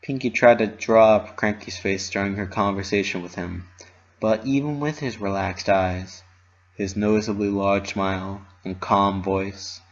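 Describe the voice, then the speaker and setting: A narrator giving an expressive, theatrical dramatic reading of a story. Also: deep voice, unhurried, dull audio. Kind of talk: dramatic reading of fan fiction.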